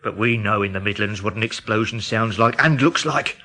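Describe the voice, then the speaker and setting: A man's voice: fairly low pitch, brisk, sharp tone. An actor playing an alarmed, agitated man from the English Midlands in a radio drama, telling things with dramatic urgency. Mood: grim, fearful.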